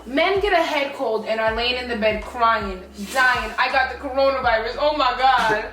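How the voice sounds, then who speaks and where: A woman co-hosting a podcast, talking in an animated, opinionated way and mocking men with put-on voices. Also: fairly high pitch, moderate pace, crowd noise. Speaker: podcast co-host. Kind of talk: casual opinionated chat. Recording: echoey room, far from mic, faint music.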